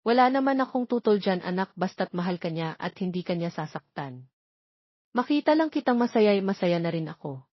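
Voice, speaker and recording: A woman's voice: mid-range voice, big pitch swings, fairly quick, husky, no echo. A woman narrator voices a glad, protective mother in an audio story. Her manner is affectionate and approving. The recording is dry and slightly dull.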